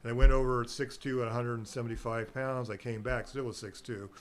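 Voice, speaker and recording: A man's voice: medium pitch, fairly even intonation, fast talker. A middle-aged man chatting casually as a guest on a local talk show, rambling and meandering, laughing easily. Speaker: guest, herbal nutrition seller.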